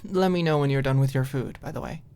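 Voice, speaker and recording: A woman's voice: a bass voice, quick pace, dark tone, slight background noise. A woman voicing an easygoing, teasing young college roommate in an audiobook, with casual, wry delivery. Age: young adult.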